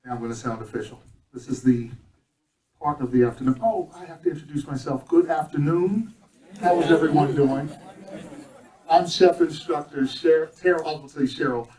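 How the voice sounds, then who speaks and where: A man's voice: a little high, normal speed, warm tone. A man who is a chef instructor, hosting a graduation ceremony with jokes and self-corrections before calling names formally. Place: event hall. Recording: echoey room from far off, audience behind.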